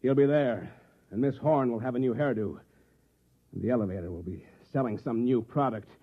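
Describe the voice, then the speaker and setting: Actor as bewildered American husband, middle-aged, urgent and husky, on thin vintage radio. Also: male voice, mid-range voice, a little fast, natural voice. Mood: agitated, distracted. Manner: urgent, questioning, emphatic. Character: tense, bewildered suburban husband caught in a repeating day. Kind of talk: old-time radio drama acting. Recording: tinny, band-limited vintage radio recording.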